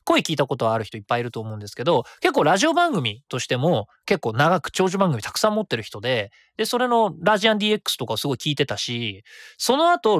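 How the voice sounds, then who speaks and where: A man around forty, hosting his own web radio show in a chatty, rapid way that stays easy to follow. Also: on the high side, fairly bright tone, dry recording, studio quality. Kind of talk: casual solo radio talk.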